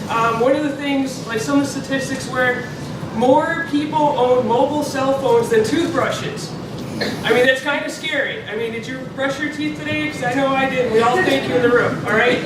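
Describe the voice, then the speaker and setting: Chatty seminar presenter, woman with a cold, distant mic in a very echoey, noisy hall. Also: a little high. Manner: chatty, joking, lots of fillers. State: has a cold. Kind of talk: marketing seminar talk. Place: expo seminar room.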